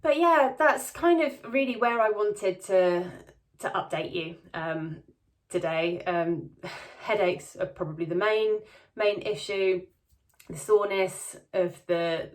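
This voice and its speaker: A woman with a slight lisp, chatting casually in a diary vlog, with many ums and restarts. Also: medium pitch. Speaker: vlogger. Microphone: camera close-up.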